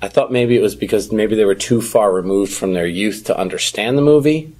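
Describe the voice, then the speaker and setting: A man co-hosting a podcast in casual chat, with a slightly husky voice and a questioning, conversational way of talking. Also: on the low side, brisk, a little mellow. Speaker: podcast co-host.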